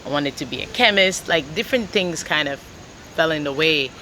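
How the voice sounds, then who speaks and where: A woman's voice: low voice, a little fast, slight background noise. A woman from Jamaica, a singer, chatting casually in an interview with lots of "like" and "you know.". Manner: relaxed, rambling, with many "like" and "you know" fillers. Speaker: singer and guitarist guest.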